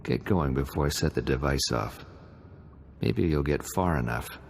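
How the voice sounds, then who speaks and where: An actor playing a smug, vain and menacing pirate captain in a video game, with a boastful, mocking manner. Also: male voice, very deep voice, lively intonation, moderate pace, gravelly, long reverb tail, slight background noise, heavily acted. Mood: smug taunting. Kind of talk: video game cutscene acting.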